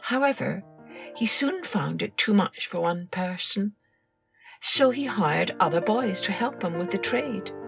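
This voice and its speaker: A woman narrating a children's story in an expressive, scripted storytelling style, like a podcast host. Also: mid-range voice, unhurried, gravelly, music in the background.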